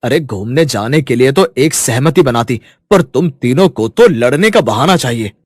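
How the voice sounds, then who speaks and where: A man's voice: mid-range voice, animated, normal speed, no room sound, clean studio sound, close to an everyday voice. A man playing an adult eldest son in a family moral story, speaking emphatically. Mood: angry. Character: adult eldest son, businessman, irritated with squabbling women.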